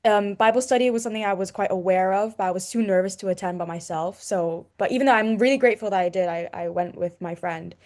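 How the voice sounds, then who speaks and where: A woman's voice: mid-range voice, quick pace, few pauses, darkish tone. A young woman from Hong Kong speaks in a mid-range voice. She is earnest and a little hesitant as she shares her faith story, and she leans on casual fillers. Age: young adult. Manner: earnest, fillers like "you know". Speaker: student. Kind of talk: personal testimony.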